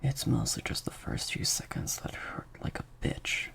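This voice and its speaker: A man playing a slightly sadistic vampire master in an improvised audio roleplay, softly taunting and praising in a breathy, creaky near-whisper. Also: close to an everyday voice. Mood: taunting, hungry. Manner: slow, drawn-out, softly taunting.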